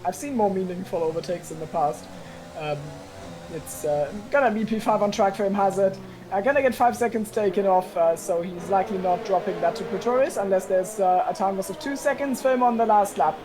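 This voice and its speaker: A woman's voice: mid-range voice, brisk, noisy background. Chatty woman co-commentating a sim race. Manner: chatty and self-mocking, often laughing between words. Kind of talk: casual race commentary chat.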